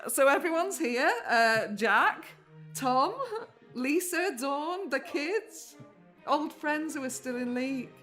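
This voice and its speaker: An actress playing a caring, sentimental middle-aged English daughter in a naturalistic audio drama, tender and conversational. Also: female voice, high voice, lively intonation, slow pace, a bit bright, faint music behind, exaggerated voice. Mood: excited.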